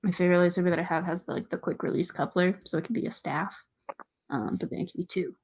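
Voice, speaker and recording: A young woman co-hosting a podcast in casual chat, giggly and animated, full of "like" and "um". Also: fairly low pitch, quick pace, vocal fry, breathy edge. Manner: giggly, filler-heavy, animated. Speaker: podcast co-host.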